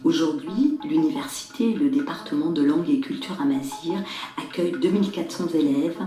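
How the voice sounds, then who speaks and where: A woman's voice: sits low, fairly quick, dark tone. A woman reporting for radio, narrating and asking questions in a plain conversational way. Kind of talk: radio documentary narration and on-site interviewing. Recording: echoey room with faint music.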